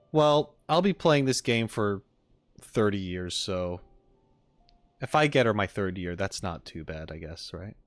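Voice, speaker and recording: A man doing casual live reaction commentary as a streamer, thinking aloud in an expressive way. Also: fairly low pitch, slow pace, long pauses, no echo, faint music behind.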